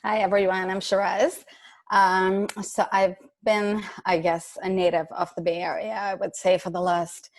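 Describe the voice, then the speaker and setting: A woman's voice: medium pitch, flat delivery, a little slow, no echo. A woman from India, an artist introducing herself on a panel call, light and hesitant with a laugh now and then.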